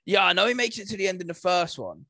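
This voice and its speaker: A man's voice: high voice, expressive, quick pace, sharp tone, no echo, studio quality. A man in casual podcast conversation as co-host, thinking aloud with some hesitation. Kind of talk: casual chat about video game movies.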